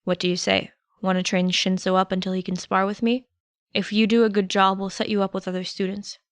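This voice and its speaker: A woman reads as a guarded male teacher in an audiobook. He speaks with earnest restraint and protective resolve, at a middle pitch for a female voice. The recording is dry. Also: level tone, a little fast, dry recording, lightly acted. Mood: firm, persuasive. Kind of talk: audiobook character voice.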